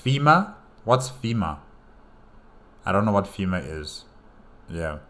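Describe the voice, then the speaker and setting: Low-voiced man thinking aloud. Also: big pitch swings, slow, a bit bright, a little hiss or hum. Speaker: reaction video host. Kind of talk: casual reaction commentary.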